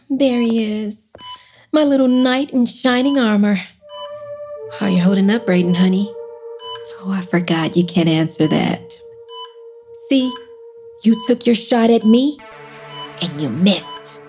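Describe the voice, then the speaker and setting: A woman's voice: medium pitch, takes it slowly, pauses often, a little mellow, faint music behind, close to an everyday voice. A woman playing a smug, cruel schemer in an audio drama, drawling condescending, sugary taunts. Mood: mock-sweet gloating.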